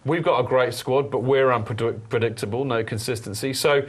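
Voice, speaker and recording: A man hosting a casual football podcast, animated and rambling, with many restarts. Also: medium pitch, moderate pace, husky. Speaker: podcast host.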